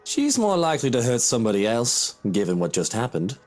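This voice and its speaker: A woman's voice: rumbling low, very animated, fairly quick, a little mellow, faint music behind, full character voice. A woman voicing a haughty, petulant wealthy young husband in a visual novel game, with theatrical, whining indignation. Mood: aloof, grudging.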